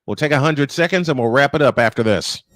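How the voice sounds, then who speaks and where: A man's voice: mid-range voice, fast, noisy background. A man hosting a live baseball broadcast as play-by-play announcer, talking to listeners in a folksy, direct way. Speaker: play-by-play sports broadcaster and host. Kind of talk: live baseball commentary and sign-off.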